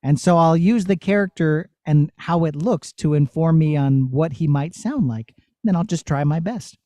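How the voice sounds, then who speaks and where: Male puppeteer, middle-aged, high voice, casual teaching delivery for young listeners. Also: moderate pace, warm tone, no echo. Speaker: puppeteer teaching a master class. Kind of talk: casual explaining to children.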